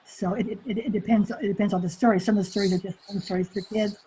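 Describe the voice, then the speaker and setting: A woman's voice: mid-range voice, fairly even intonation, fast, mellow, a little hiss or hum, poor audio. An older woman presenting a webinar over a video call, chatty and reassuring, often repeating her words. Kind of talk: casual discussion on a video call.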